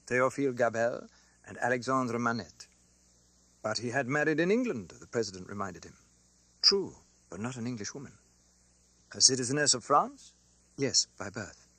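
A man narrating a classic novel as an audiobook, with a theatrical, expressive delivery. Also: medium pitch, no room sound.

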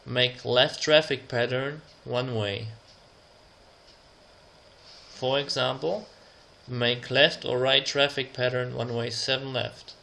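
A man narrating an instructional slide presentation as a tutor, reading out example phrases in a deliberate, measured way. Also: mid-range voice, fairly even intonation, vocal fry, bright, forceful tone.

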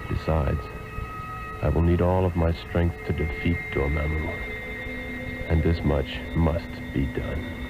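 An actor playing a resolute, principled heroic sorcerer, serious and pondering, in a 1960s radio drama. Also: male voice, extremely low pitch, level tone, takes it slowly, noisy background. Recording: dull lo-fi old radio, loud music.

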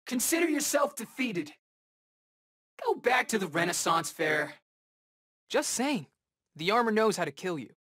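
Cocky rookie superhero kid for a fighting game, quippy slangy banter, unhurried, pitch jumps all over. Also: male voice, very animated, a bit bright, husky, heavily acted. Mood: confident, taunting. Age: young adult. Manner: casual, wisecracking, boyish slang. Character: cocky, eager young male rookie superhero in alien armor. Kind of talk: video game battle banter.

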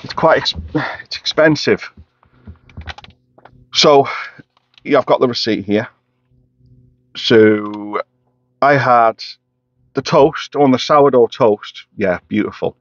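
A man's voice: medium pitch, slow pace, long pauses, dry recording. A middle-aged man casually reviewing a breakfast from inside a parked car, rambling with lots of fillers in an upbeat tone. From Wales. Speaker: food vlogger. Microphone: camera on the dashboard. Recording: slightly muffled, dry car cabin.

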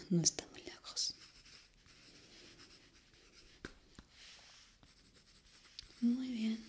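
A young woman from playing a polite, eerie hotel receptionist in an ASMR roleplay, formal and hushed. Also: on the low side, a little hiss or hum. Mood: quietly inquisitive. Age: young adult. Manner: formal, slow, hushed and courteous.

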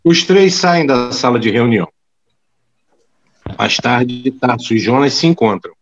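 A man's voice: medium pitch, measured pace, lo-fi sound. A man reading scripted scene narration for an audio drama as its narrator.

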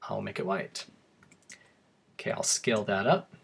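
A young man casually talking through a software tutorial, joking and chatty with many fillers and restarts, his voice tiring and slightly hoarse. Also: fairly low pitch, moderate pace, a little hiss or hum. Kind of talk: casual screen-recorded tutorial.

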